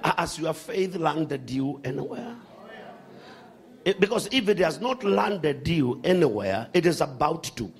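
A man preaching a sermon to a congregation, animated, swinging from shouts to hushed asides. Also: fairly high pitch, measured pace.

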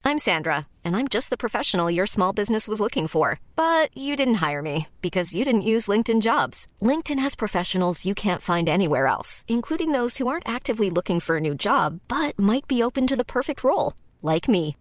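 A woman's voice: medium pitch, sing-song, a little fast, hardly any pauses, no echo, natural voice. A woman acting as a confident job-seeking professional in an advert. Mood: self-assured.

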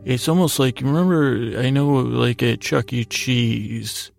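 A middle-aged American man hosting a bedtime podcast, telling meandering stories in a gravelly voice, haltingly trailing off and wandering between thoughts. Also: mid-range voice, darkish tone. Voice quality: gravelly, creaky voice. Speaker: podcast host. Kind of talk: rambling, meandering bedtime storytelling monologue.

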